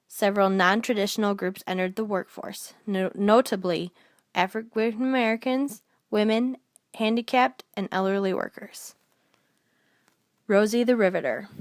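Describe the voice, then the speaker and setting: A woman's voice: mid-range voice, no echo, studio quality. A woman narrating a scripted documentary, reading aloud and now and then stumbling over a word.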